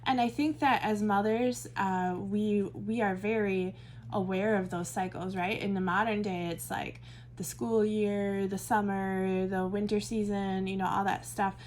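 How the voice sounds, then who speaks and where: A woman's voice: mid-range voice, fairly even intonation, unhurried, a little mellow, a little hiss or hum. Chatty Midwestern woman teaching Bible study. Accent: Midwestern American. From American Midwest. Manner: chatty, thinks aloud, many fillers and self-corrections. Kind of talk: casual teaching talk to camera.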